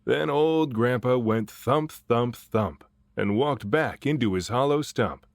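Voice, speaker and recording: A man reading a children's picture book aloud in an expressive way, drawing out phrases for dramatic emphasis. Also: medium pitch, no echo, clean studio sound. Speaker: storybook reader and voice actor.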